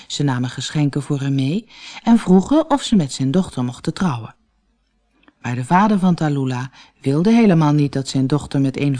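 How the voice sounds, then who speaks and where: A storyteller reading a children's fairy tale from a script in an expressive, deliberate way, as for an audiobook. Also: mid-range voice. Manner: expressive, deliberate read-aloud delivery.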